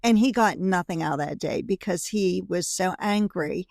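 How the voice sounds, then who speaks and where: A woman's voice: fairly low pitch, normal speed, gravelly, darkish tone, no echo, clean studio sound. A woman who works as a coach, telling personal stories as a podcast guest, with frequent "you know" fillers. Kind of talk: conversational interview storytelling.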